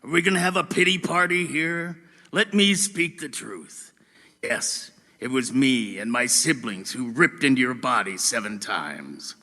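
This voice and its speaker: An actor playing a menacing, boastful bullet in an audio drama, taunting and theatrical. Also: male voice, mid-range voice, unhurried, bright, forceful tone. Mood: taunting, scornful. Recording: long reverberant hall effect.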